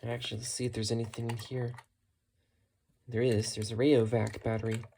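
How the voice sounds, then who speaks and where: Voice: mid-range voice, little pitch movement, a little fast, vocal fry, warm tone, a little hiss or hum. A hobbyist vlogger giving a casual, unscripted show-and-tell in a matter-of-fact way, musing tentatively while talking. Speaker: hobbyist vlogger showing thrift-store radio finds.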